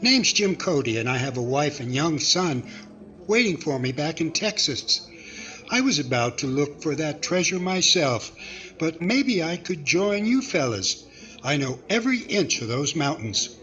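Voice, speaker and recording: A man's voice: on the high side, big pitch swings, measured pace, sharp tone, highs cut off, full character voice. A man playing an earnest, confident cowboy in a 1940s-style radio comedy.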